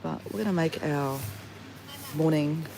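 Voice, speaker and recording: A man's voice: a little high, unhurried, gravelly, background noise. A man with a sore throat and a nasal voice, chatting casually on a live-stream vlog from an outdoor beach, talking into a phone held at arm's length. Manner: chatty, rambling, greets viewers by name. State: sore throat, has flu. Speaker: travel vlogger.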